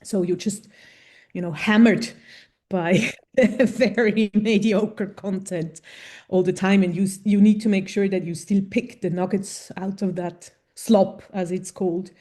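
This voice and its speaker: Frank woman editor in an interview, relaxed dark timbre. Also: medium pitch, unhurried. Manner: frank, plain-spoken. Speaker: journal editor. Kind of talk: conversational interview answers.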